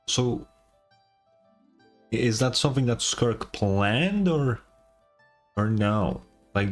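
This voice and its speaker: A young man streams a game with a husky voice at an average pitch. His commentary is casual, slangy and profane, with faint game music underneath. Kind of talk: casual gaming reaction commentary.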